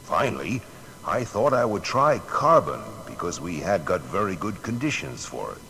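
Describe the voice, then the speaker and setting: An actor playing a proud male inventor recounting his discoveries in a deliberate, declamatory, gravelly voice for an audio biography. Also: sits low, very animated, bright, forceful tone, a little hiss or hum, heavily acted, low-quality recording. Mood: earnest reminiscing. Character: Thomas Edison, American inventor recounting his discoveries with pride. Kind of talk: dramatised first-person narration.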